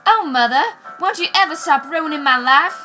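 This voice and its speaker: A woman plays a twenty-something mother in a 1940s radio commercial. Her voice is very high, earnest and theatrical as she explains things firmly over clear background music. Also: moderate pace, bright, forceful tone. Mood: exasperated. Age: teens. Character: earnest, exasperated young mother, about 25. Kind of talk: 1940s radio advertisement acting.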